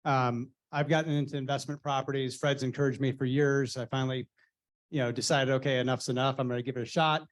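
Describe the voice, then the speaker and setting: A man introducing himself on a real estate panel, relaxed and straightforward. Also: medium pitch, fast talker.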